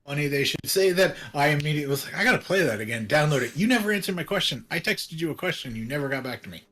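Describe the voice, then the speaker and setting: Raspy, gravelly man in casual chat. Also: a little high, animated, pushed and bright. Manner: halting, restarts often, laughs easily. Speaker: co-host. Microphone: computer mic over voice chat.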